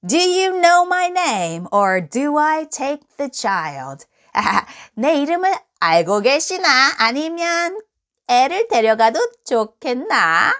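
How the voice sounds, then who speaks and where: A woman's voice: sits high, sing-song, drawn-out words, fairly bright tone, voice pushed up, heavily acted. A woman voicing a scheming little man from a fairy tale, gleeful and taunting in a singsong storybook manner. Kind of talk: storybook read-aloud character voice.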